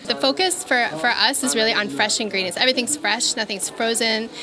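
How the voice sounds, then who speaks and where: A woman's voice: fairly high pitch, a little fast. A woman in her thirties, a food vendor, answering in an interview. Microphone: handheld interview microphone. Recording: busy market crowd behind.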